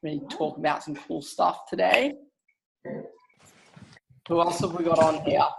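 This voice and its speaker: A man's voice: a little high, normal speed, vocal fry, background noise. A man, presenting on a video call stream in a casual, upbeat way. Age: 20s. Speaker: social media marketing presenter. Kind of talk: casual live stream chat.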